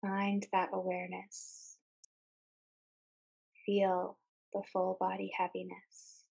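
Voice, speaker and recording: A woman leading a guided meditation in a calm, soothing, soft voice, speaking in short phrases with pauses between them. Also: mid-range voice, vocal fry, slightly rough, poor audio.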